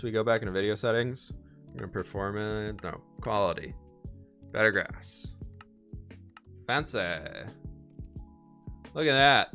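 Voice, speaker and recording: A man streaming a building game, upbeat and chatty, his voice a little hoarse, answering questions from chat. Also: on the low side, a bit bright. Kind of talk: casual live-stream chat while building.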